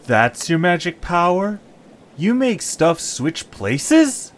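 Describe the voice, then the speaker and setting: Man voicing an eager, higher-pitched young adventurer leader. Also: sing-song, slow, exaggerated voice. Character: eager, curious young male group leader creature. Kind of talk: amateur audio drama acting.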